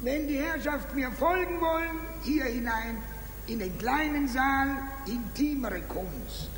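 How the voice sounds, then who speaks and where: A formal museum guide in an old radio drama, with a very high voice. He drones out announcements slowly, ringing through a reverberant hall. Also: animated, fairly bright tone, exaggerated voice. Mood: formal, droning. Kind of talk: 1950s radio drama acting. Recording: echoey hall, faint noise.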